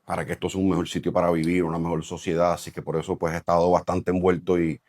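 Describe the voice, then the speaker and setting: Puerto rican spanish accent, middle-aged man, very low voice, dry close sound, thoughtful, lots of fillers. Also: little pitch movement, fast, a little mellow, no echo. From Puerto Rico. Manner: thoughtful, many fillers and restarts. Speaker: real estate developer, company president. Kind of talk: casual interview answers.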